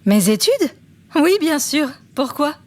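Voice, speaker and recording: An actress playing a brainy, teasing young woman student in a French audio drama, playful and expressive in her manner. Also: high-pitched, slow. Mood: studious. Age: young adult. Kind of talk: French audio fiction acting. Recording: music behind the voice. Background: music.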